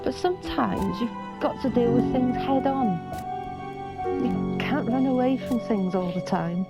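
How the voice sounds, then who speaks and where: A woman's voice: fairly high pitch, a little slow. An actress playing a wounded middle-aged mother in naturalistic film dialogue, pointed and dryly sarcastic. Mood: hurt, reproachful. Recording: dull sound, loud music behind. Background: instrumental music.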